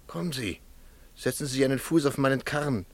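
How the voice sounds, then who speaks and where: An actor in a radio play playing an eager street vendor with chatty, persuasive patter. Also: male voice, medium pitch, a little fast, natural voice. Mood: eager, coaxing. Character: eager, coaxing street flower seller with a cart. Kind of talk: German radio play acting.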